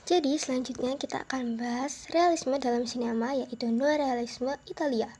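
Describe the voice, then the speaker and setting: Young female student presenter, a little hoarse and under the weather, easygoing voice-over delivery. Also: high-pitched, moderate pace, a little mellow, slight background noise. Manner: chatty, peppers talk with "nah" and "gitu deh". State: hoarse, a bit unwell. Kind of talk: casual educational voice-over. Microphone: home-recorded dubbing.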